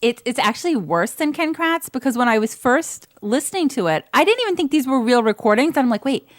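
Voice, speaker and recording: A woman with a slightly high voice gives her opinions on a podcast panel. She is blunt and casual, leaning hard on key words, and the sound is close and dry. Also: lively intonation, brisk, no room sound. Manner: blunt, emphatic, drawing out words for stress. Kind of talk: casual opinionated review chat.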